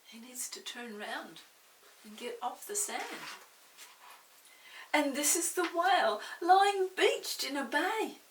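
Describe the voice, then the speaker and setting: An older woman reading a story aloud to young children, expressive, pausing to explain and ask the listener questions. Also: sits high. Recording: roomy, distant mic with faint hiss.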